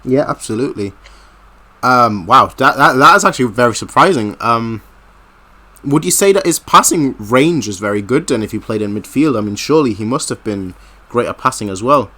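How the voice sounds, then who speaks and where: A man with a bright, forward voice at medium pitch hosts a casual football chat. He speaks hesitantly and at some length, qualifying and rewording as he goes. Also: expressive, brisk. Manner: hesitant, wordy, hedges and rephrases his questions. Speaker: host, interviewer.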